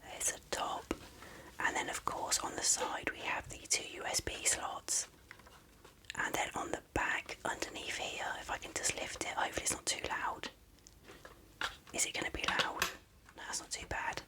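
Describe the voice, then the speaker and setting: ASMR unboxing host: whispers throughout, gentle, rambling, in no hurry. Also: normal speed, poor audio. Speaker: video host showing an unboxing.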